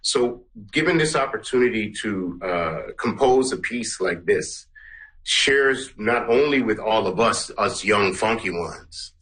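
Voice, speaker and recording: A man's voice: lowish voice, animated, measured pace, a bit bright, low-quality recording. A middle-aged man, a musician answering interview questions conversationally, feeling for his words and repeating phrases for emphasis. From Canada, Guyana-born. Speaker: musician and song producer, interview guest.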